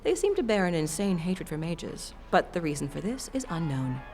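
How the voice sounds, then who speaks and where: A woman's voice: fairly low pitch, big pitch swings, normal speed, darkish tone, soft background music. A woman playing an exotic, theatrical curiosity-shop keeper in a fantasy audio drama, savoring her words like a saleswoman. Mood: storytelling.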